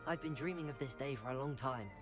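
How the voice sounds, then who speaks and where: A man's voice: medium pitch, fast talker, over music, lightly acted. A man playing a polite, keen teenage new student at a wizard school in a game, curious in manner. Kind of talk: scripted game dialogue.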